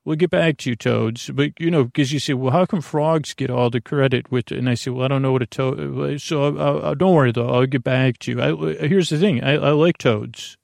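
A man with a creaky voice hosting a bedtime podcast, rambling haltingly through tangents in a soothing, lulling way. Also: medium pitch, steady tone, a little mellow, no echo, studio quality. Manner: meandering, halting, full of tangents. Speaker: podcast host.

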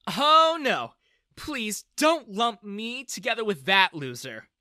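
A man's voice: high voice, expressive, a little slow, bright, forceful tone, dry recording, clean studio sound, voice pushed up, exaggerated voice. A man voicing an outspoken, scornful young woman in a narrated story. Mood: disgusted. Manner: exasperated, gushing.

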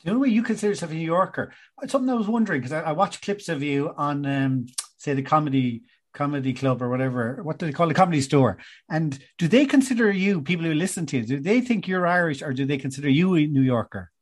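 A man's voice: on the high side, animated, quick pace. A man interviewing a guest, asking long, winding questions in a casual way.

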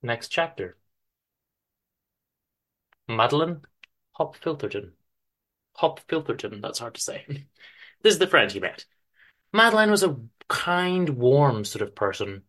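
A man's voice: mid-range voice, big pitch swings, unhurried, long pauses, sharp tone. A man giving a dramatic reading of his own story, with wry, informal asides.

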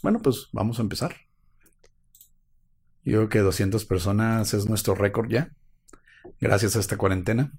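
A man's voice: lowish voice, normal speed, gravelly, long pauses, a little mellow, husky. A man co-hosting a live stream, cracking wry jokes and narrating a true-crime case. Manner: wry, jokey asides, slightly dramatic in the telling. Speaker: podcast co-host.

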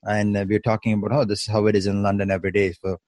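A man hosting an interview podcast, polite and halting with many fillers. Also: lowish voice, flat delivery, a little mellow, no echo. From Canada. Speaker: podcast host.

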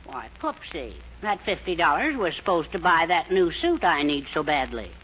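Meek, plaintive henpecked husband. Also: male voice, on the high side, sing-song, moderate pace, a bit bright, breathy edge, exaggerated voice. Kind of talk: 1940s radio comedy acting. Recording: dull, noisy old radio recording.

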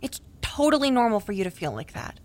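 A woman's voice: mid-range voice, very animated, quick pace, darkish tone, lightly acted. A woman playing a blunt, protective best friend in an audio drama, with a direct, casual and insistent delivery. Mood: indignant, supportive. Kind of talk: naturalistic audio drama acting.

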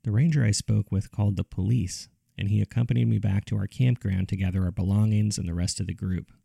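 A man hosting a story podcast, reading scripted mystery case files in a measured, serious storytelling manner. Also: lowish voice, steady tone, creaky, mellow, husky, dry recording, studio quality. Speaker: podcast host and narrator.

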